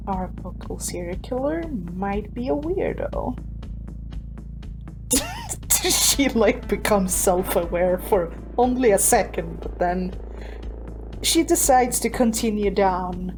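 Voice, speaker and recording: A woman's voice: mid-range voice, expressive, takes it slowly, low-quality recording. A woman speaking casually as a player in a tabletop game, thinking aloud about her moves. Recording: music behind the voice. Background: music.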